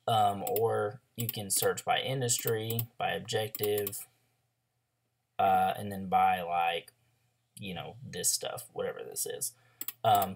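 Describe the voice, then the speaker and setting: Hoarse young man with a gravelly voice. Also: fairly low pitch, a little mellow. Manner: loose and chatty, lots of ums and likes. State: hoarse after a throat illness. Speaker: online ads coach. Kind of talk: casual screen-share tutorial.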